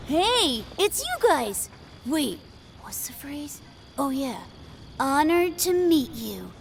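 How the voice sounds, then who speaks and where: An eager, boastful young boy in a video game who plays at being a great swordsman. His voice is high and lively, bouncing with excitement as he brags. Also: takes it slowly, creaky, noisy background, full character voice.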